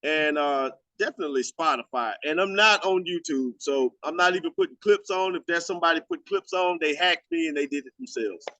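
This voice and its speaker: A man's voice: a little high, brisk, few pauses, bright, forceful tone, dry recording, rough recording. A man in his forties hosting a radio show, chatting loosely, joking and swearing freely. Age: 40s. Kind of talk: casual interview chat.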